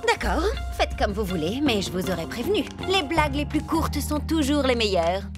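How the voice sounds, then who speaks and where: A woman's voice: high-pitched, very animated, normal speed, over music. A woman voicing a sly but sensible female animal in a French cartoon dub, emphatic and knowing. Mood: exasperated.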